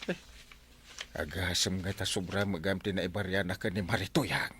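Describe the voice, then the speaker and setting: A man's voice: low voice, steady tone, moderate pace, bright, forceful tone, slight background noise. A man acting a flustered, stammering village captain uncle in an Ilocano comedy drama. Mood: agitated, exclaiming. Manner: stammering, hesitant, exclaiming. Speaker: radio comedy actor.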